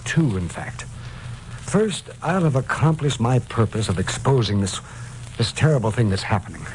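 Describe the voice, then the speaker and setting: An actor playing a suffering, desperate man in a hospital bed, grave, halting and intense, in old radio drama style. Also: medium pitch, lively intonation, natural voice. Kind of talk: 1950s radio drama acting. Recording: noisy old radio recording.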